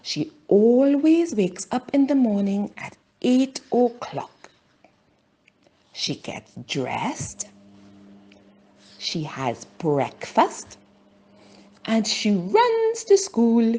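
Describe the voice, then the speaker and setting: A woman narrating a simple children's storybook for language learners, with deliberate phrasing and pauses between short phrases. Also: mid-range voice, wildly swooping pitch, mellow, slightly rough.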